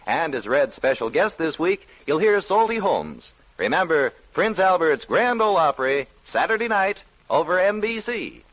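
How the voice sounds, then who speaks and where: Lively, swooping radio pitchman. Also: moderate pace. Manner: folksy, direct salesman address. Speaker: radio commercial announcer. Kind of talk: 1940s radio advertising pitch. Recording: muffled 1940s radio broadcast.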